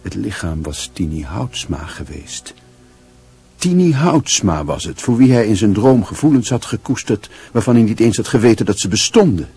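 A male actor playing a grave, brooding storyteller, reading literary narration in a radio drama in a measured, solemn manner. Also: fairly low pitch, big pitch swings, mellow, breathy edge, soft background music, exaggerated voice.

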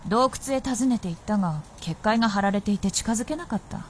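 A woman as a lively, imperious vixen spirit. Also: medium pitch, a little fast, a little hiss or hum, lightly acted. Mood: haughty greeting. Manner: archaic, imperious, teasing speech. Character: proud old-fashioned vixen spirit princess, vengeful and teasing. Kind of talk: radio drama acting.

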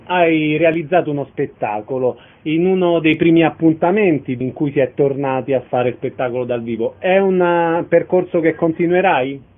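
A man's voice: a little high, normal speed. A man working as a radio interviewer, putting questions to a guest. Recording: narrow phone-like sound, faint noise.

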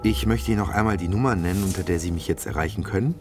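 A man's voice: deep voice, rapid-fire. A man speaking as a radio host in a conversational presenting style. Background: music.

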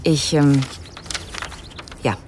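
An actress playing an absorbed, flustered researcher in a naturalistic radio drama, speaking hesitantly. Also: female voice, low voice, very slow, warm tone, slight background noise. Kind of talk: naturalistic radio drama, partly reading aloud.

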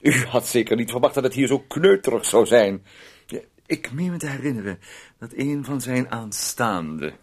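An actor playing a fussy, manipulative man who poses as a caring guardian in a radio play, talking in a wordy, insinuating, condescending way with tag questions. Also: mid-range voice.

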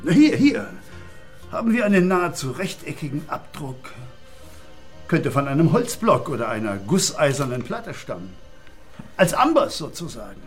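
Lively, precise forensic policeman. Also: a little high, moderate pace, faint music behind. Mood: eager, factual. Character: diligent male forensic policeman reporting findings. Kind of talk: German radio crime drama acting.

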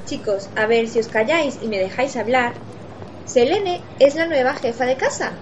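A woman's voice: a little high, moderate pace, natural voice. A woman with an accent from Spain playing a bossy but reassuring senior school leader in an amateur radio play. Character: bossy, reassuring female former house head at school. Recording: dull, faintly noisy home recording.

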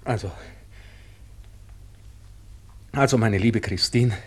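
An actor playing a reserved, evasive gentleman in a radio drama, speaking formally, hesitantly and with subdued gravity. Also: fairly low pitch, moderate pace, husky. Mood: uneasy, grave. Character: reserved, evasive gentleman bringing grave news. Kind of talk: 1960s German-language radio drama acting.

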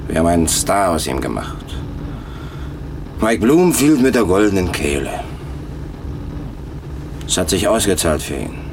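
A hard male gangster go-between in a radio drama. His voice is deep and dry, coolly persuasive, with car and street noise clearly behind him. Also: big pitch swings, unhurried, pauses often, exaggerated voice. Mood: cool, pressing. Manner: cool, pressing, dry. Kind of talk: German radio crime drama acting. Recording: loud car and street ambience.

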